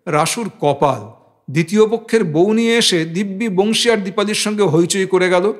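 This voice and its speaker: A man's voice: sits high, moderate pace. A man reading a literary novel aloud as an audiobook narrator.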